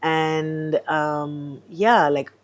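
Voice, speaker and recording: Guest chef from Singapore, woman in middle age, lively with fillers, low register. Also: drawn-out words. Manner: chatty, animated, many fillers. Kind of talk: casual interview chat.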